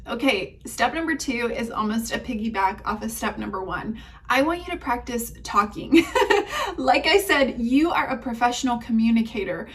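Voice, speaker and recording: A cheerful, chatty woman gives coaching tips in a fairly high voice, laughing easily between points. Spanish and German were her first languages. She sounds a little distant from the microphone. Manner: upbeat, chatty, laughs often. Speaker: leasing trainer.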